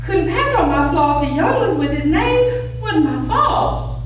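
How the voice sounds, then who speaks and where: A woman acting a proud, defensive middle-aged Southern American doctor's widow in denial, halting and stammering, in a staged play reading. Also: extremely high pitch, big pitch swings, full character voice. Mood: wounded, defensive. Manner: halting, stammering, repeating words. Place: echoey room at a live staged reading. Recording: echoey room, distant mic, faint noise.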